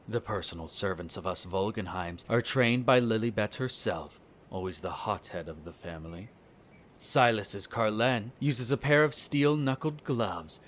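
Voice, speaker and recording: Man playing a haughty, low-voiced vampire lord. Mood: proud, cold. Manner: condescending, haughty, formal drawl. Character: smug, cruel male vampire noble, haughty and teasing. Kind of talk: scripted audio roleplay acting.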